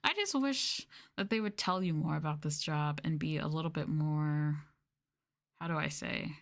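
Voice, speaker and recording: Young woman streamer just chatting, kinda low voice, venting and apologetic, supposed to be soft asmr. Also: normal speed, gravelly. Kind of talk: casual chatty livestream talk, meant as soft ASMR.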